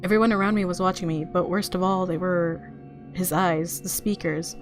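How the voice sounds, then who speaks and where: A woman's voice: lowish voice, steady tone, moderate pace. A woman hosting a gaming video, reading visual novel narration aloud expressively and reacting casually. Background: game music.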